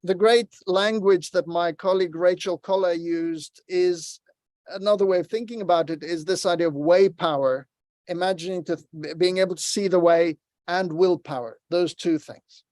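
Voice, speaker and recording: A man's voice: sits high, fairly even intonation, moderate pace, a little mellow, dry recording. A man from Lebanon giving an online workshop talk, earnest and encouraging as he tells a story over a video call. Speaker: workshop presenter.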